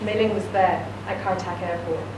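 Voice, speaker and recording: A woman's voice: fairly low pitch, steady tone, normal speed. Woman reading a story in an echoey room. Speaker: reader. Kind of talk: reading a short story aloud. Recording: echoey room, distant mic, noisy.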